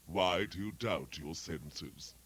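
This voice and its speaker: Man to play a deep, slow, moaning ghost of a miser, sorrowful and chained. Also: fairly bright tone, breathy edge, no echo, exaggerated voice. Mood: solemn, doleful. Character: ghost of a dead miserly businessman, mournful, chained. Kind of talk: dramatic reading of a classic tale.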